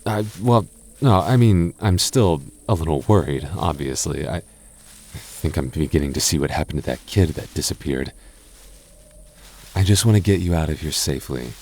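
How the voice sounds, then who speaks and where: A young man in a close-mic boyfriend roleplay, talking softly with halting restarts and light jokes. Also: a bass voice, lively intonation. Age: young adult. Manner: soft-spoken, halting, jokey and reassuring; slow measured reading of a rhyme.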